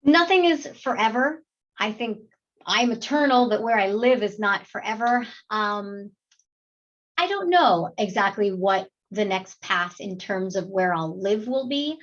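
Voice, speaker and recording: A talkative woman from New York, speaking as a guest in a relaxed interview. Her voice sits in a middle pitch and rises with energy as she tells stories. The sound is a little dull and lo-fi, like audio from a video call. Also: measured pace. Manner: animated, talkative, laughs often, many fillers. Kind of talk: casual interview chat.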